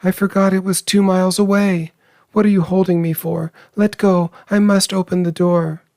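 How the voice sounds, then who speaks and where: Frantic elderly mother, played by a male narrator, pitched well up, feverish and pleading, storybook drama, close dry sound. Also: moderate pace, dry recording. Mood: desperate screaming. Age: older. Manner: feverish, panting, excitable. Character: hysterical, desperate old mother, feverishly insistent.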